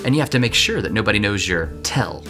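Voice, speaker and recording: A man playing a cocky young card sharp in an audio drama, explaining things in a coaxing, animated way. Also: lowish voice, fast, faint music behind, heavily acted. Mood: sly, coaxing.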